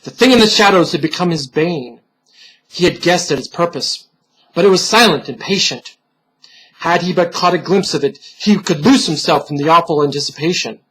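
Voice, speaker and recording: Male storyteller for gothic horror, husky and higher-pitched, solemn theatrical delivery that sinks into hushed moments. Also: normal speed, low-quality recording. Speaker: storyteller, reader.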